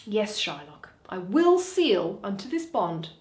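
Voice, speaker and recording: A woman's voice: medium pitch, big pitch swings, slow, a little mellow, voice pushed down. A woman playing a proud, confident merchant man with a firm, haughty delivery in a Shakespeare reading, recorded in a roomy space. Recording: roomy, echoing.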